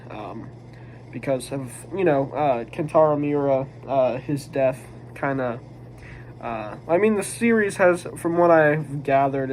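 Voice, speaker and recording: A man's voice: mid-range voice, darkish tone, poor audio. Congested, nasal man rambling through a review. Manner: rambling, hesitant, often restarting. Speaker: manga reviewer. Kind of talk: casual video review. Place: home room with a squeaky office chair. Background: faint background noise.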